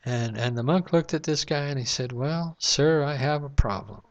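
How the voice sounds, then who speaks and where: A man's voice: medium pitch, moderate pace, highs cut off. A man in a casual, reflective monologue to camera at home at night, rambling with lots of fillers. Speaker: vlogger. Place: home room at night, lamp-lit.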